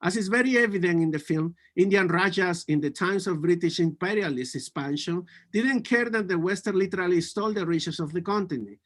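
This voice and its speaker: High-voiced man with a Peruvian Spanish accent. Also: brisk, few pauses. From Peru. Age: 40s. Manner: earnest, with frequent restarts and self-corrections. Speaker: conference speaker. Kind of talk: prepared academic talk.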